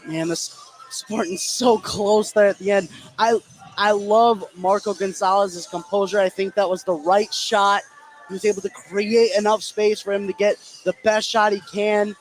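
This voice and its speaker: A man with a notably high voice works as color commentator at a basketball game. He analyzes play in a conversational way with plenty of filler phrases. Some music sounds behind him in the gym. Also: little pitch movement, normal speed, low-quality recording. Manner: conversational, frequent "you know". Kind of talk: live basketball radio analysis. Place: loud high school gym fieldhouse. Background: thumping music.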